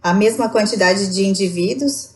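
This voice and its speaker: A woman's voice: mid-range voice, normal speed, slight background noise. A woman from Rondônia, Brazil, talking as a podcast guest, explaining at length with frequent tag questions. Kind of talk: casual conversation.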